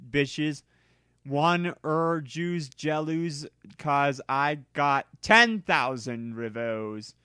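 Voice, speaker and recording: A man reading a story aloud in a theatrical, deliberate way, as an amateur dramatic narration. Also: mid-range voice, fairly bright tone, smooth, no echo, clean studio sound.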